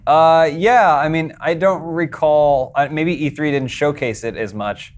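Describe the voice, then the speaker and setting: A man's voice: a little high, a little slow. A man on a podcast making crude jokes and frequently chiming in with agreement. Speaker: podcast regular. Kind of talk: casual chat.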